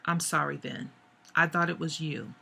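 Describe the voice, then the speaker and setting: A hoarse woman voicing a cold, accusing young man in a story she reads aloud. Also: deep voice, fairly even intonation, moderate pace, sharp tone, exaggerated voice. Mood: subdued. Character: angry young man, bitter and sharp-tongued.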